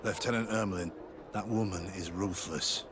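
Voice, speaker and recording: A male actor plays a guilt-ridden sergeant turned secret informant in a video game. His low voice is earnest and guarded, as if confiding. Outdoor noise runs clearly behind him. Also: fairly even intonation, moderate pace, natural voice. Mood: grave. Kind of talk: video game cutscene acting.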